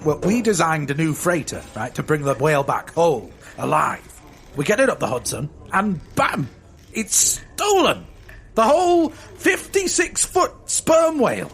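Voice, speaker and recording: An actor playing a brash, greedy, boastful whale-oil tycoon in a comedic audio drama, with a bombastic, blustering delivery. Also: male voice, a little high, wildly swooping pitch, a little slow, fairly bright tone, full character voice.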